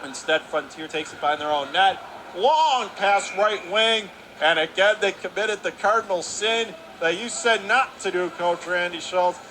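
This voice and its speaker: High-voiced man calling hockey. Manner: rapid excited calling that rises sharply on goals. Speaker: play-by-play announcer. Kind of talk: radio hockey play-by-play. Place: arena broadcast position. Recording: noisy arena broadcast.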